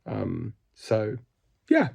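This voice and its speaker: A man's voice: on the low side, wildly swooping pitch, drawn-out words, vocal fry, darkish tone, breathy edge. A man in his thirties with a slight cold and a scratchy voice, thinking aloud in a candid home vlog from his front room. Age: 30s. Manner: thoughtful, candid, musing with many ums. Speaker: vlogger. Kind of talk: reflective casual vlog.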